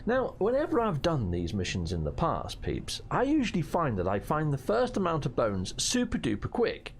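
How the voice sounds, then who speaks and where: British man, hoarse, gravelly voice, very animated pitch, chatty gameplay commentary. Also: normal speed, a little hiss or hum. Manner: chatty and jokey, with playful slang and mild swearing. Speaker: gaming channel host.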